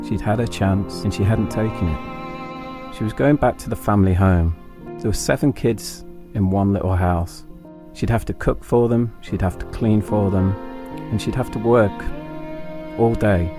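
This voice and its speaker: A middle-aged man with an English accent and a low-pitched voice narrates his own story. His delivery is reflective and deliberate, broken into short phrases. Instrumental music plays clearly behind him. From England. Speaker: podcast host and narrator. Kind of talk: autobiographical storytelling, scripted narration.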